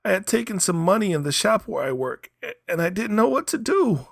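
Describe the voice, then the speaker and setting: A man's voice: sits high, sing-song, brisk, gravelly, breathy edge, dry recording, studio quality, pitched above the performer's own voice, full character voice. A man voicing a frightened, guilt-stricken young man who pleads and exclaims in a strained way. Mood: desperate pleading.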